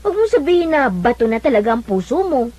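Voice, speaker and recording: A woman's voice: high voice, sing-song, fast talker, warm tone. A woman playing an earnest, caring younger sister in a Filipino radio drama, pleading and encouraging.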